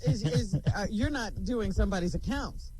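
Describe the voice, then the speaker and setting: A woman co-hosting a radio show who cuts in with quick, teasing questions. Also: mid-range voice, lively intonation, mellow, husky. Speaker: radio co-host. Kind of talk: casual radio talk.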